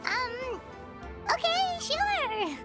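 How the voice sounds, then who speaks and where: A woman voicing a tiny, chirpy, curious fairy companion in a video game, with a squeaky childlike voice and a bubbly, cheeky manner. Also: sing-song, drawn-out words, exaggerated voice. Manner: exclamatory, bubbly. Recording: game music behind the voice.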